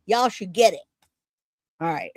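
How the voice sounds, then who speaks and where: A woman in her forties chats to her livestream viewers in a casual, slangy style. She is animated and quick to joke, with a medium-pitched voice and a dry, close sound. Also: no room sound. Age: 40s. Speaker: livestream host reacting to news.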